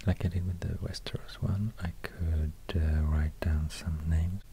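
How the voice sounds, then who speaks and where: Male ASMR host, Swedish accent, soft whisper, slow and hesitant delivery, close binaural mic with slight background noise. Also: extremely low pitch, flat delivery. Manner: gentle, unhurried, hesitant. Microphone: close binaural microphone. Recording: close binaural mic, faint hiss, clicks.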